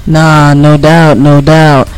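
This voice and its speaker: An American man with a somewhat high voice talks as a radio co-host. His commentary is casual, colloquial and emphatic, full of slang. Faint music plays behind him. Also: monotone, takes it slowly, clean tone, rough recording.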